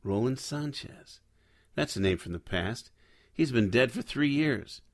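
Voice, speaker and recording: A man's voice: mid-range voice, expressive, a little slow. An actor playing a hard-boiled private detective who narrates his case in a noir audio drama. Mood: businesslike. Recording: music under the voice.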